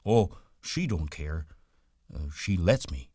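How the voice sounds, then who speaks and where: A man's voice: deep voice, animated, slow pace, dark tone, slightly rough, dry recording, studio quality, full character voice. Man doing a big childlike pleading farmhand. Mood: insistent. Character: big simple-minded gentle male farmhand.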